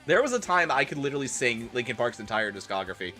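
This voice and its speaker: A man hosts a livestream with crude, theatrical banter. His voice is bright and forceful, swinging widely in pitch, over a bed of music. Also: big pitch swings, fast talker. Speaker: livestream host. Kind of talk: livestream banter and dramatic reading of fan fiction.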